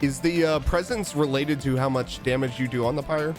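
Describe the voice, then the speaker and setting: A man's voice: medium pitch, brisk, faint music behind. A man co-commentating a group let's play, asking curious questions about the game. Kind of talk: casual gameplay chat.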